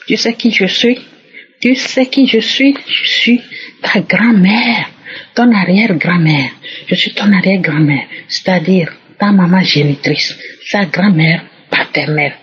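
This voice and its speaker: A woman's voice: on the low side, unhurried, slight background noise, natural voice. Woman acting out a spiteful ancestral spirit. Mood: taunting. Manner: taunting, boastful, then defeated. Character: malevolent ancestral spirit, great-grandmother, female. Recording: muffled lo-fi recording.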